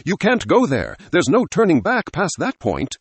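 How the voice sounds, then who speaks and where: Man as alarmed male geologist, audio story, agitated, very animated, husky, urgent. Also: high voice, moderate pace, dry recording, pitched above the performer's own voice, full character voice.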